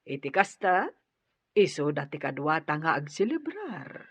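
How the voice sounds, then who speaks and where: A woman's voice: sits low, very animated, a little slow, husky, no echo, exaggerated voice. A woman acting the genial madam of a household in a radio drama. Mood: planning, pleased. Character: genial, unhurried madam of the house planning a celebration. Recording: muffled, dry radio drama recording.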